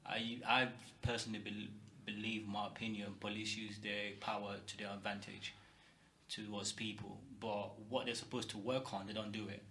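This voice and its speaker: Guy, gravelly and forceful, pushing his opinions on a podcast, faint noise. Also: fairly low pitch, rough recording. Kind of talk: opinionated discussion.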